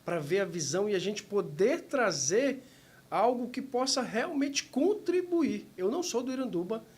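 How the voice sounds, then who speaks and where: A man from Manaus in Brazil hosting a podcast, speaking in long, measured, motivational sentences. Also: high voice, big pitch swings, darkish tone, husky. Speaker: podcast host. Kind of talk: interview hosting, reflective monologue.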